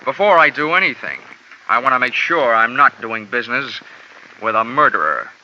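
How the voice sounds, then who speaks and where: Sardonic tough-guy investigator, bright voice, muffled. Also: medium pitch, animated, normal speed, a little hiss or hum. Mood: wry. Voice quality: dry, sardonic wisecracks. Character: wisecracking tough male insurance investigator. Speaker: narrator and lead. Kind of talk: 1950s radio drama acting. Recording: dull old radio recording.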